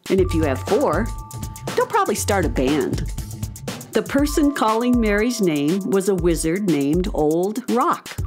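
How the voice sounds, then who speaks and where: Animated woman narrating a children's story. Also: lowish voice.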